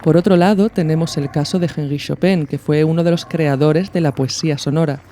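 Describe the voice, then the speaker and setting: Higher-pitched, mellow male podcast narrator. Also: fairly even intonation, a little fast, slight background noise. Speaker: podcast host. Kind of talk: scripted educational narration.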